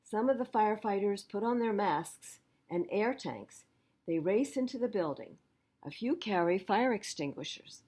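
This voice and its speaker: A woman reading a picture book aloud to young children as a library storyteller, pausing to explain things simply. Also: mid-range voice, expressive, mellow, slightly rough.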